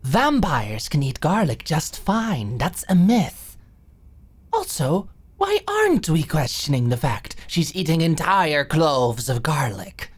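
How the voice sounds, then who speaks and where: Casting: woman as haughty female vampire doctor for audio roleplay, highly animated, low for a woman, menacing and exasperated. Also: takes it slowly, breathy edge, full character voice. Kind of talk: ASMR roleplay audio drama.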